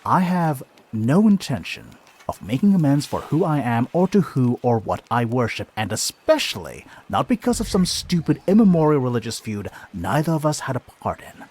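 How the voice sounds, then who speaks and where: An actor playing a brash, tormented last heir of a noble line in a video game cutscene, with a dramatic, sardonic delivery. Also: male voice, medium pitch, big pitch swings, moderate pace, darkish tone, breathy edge. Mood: exasperated, earnest.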